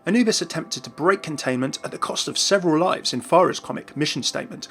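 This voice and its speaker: A man's voice: fairly high pitch, fast talker, a bit bright. A man narrating scripted commentary for an online video.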